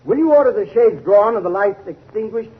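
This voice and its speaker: High-pitched declamatory courtroom prosecutor. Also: male voice, expressive, brisk. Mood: formal, assured. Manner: declamatory, accusatory, formal. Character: hard, pompous male courtroom prosecutor. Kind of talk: 1930s radio acting, formal oratory. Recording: muffled 1930s radio recording.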